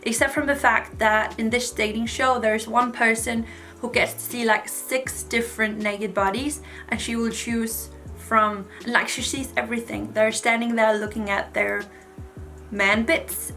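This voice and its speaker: A woman's voice: fairly high pitch, steady tone, unhurried, sharp tone, soft background music. A woman, giving frank, chatty advice to camera with lots of "like" fillers. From Denmark. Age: 20s. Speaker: vlogger. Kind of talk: casual advice talk to camera.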